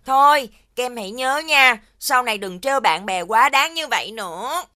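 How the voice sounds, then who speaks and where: Bright, high-voiced magical cartoon child. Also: female voice, expressive, normal speed. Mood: gently advising. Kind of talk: animated series dubbing.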